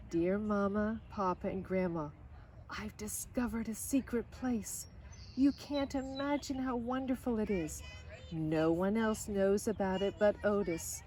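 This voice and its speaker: A woman reading a children's picture book aloud in an expressive way, now and then speaking directly to her listeners. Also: medium pitch, slight background noise, rough recording. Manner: expressive, pausing for short asides to listeners. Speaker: storybook reader.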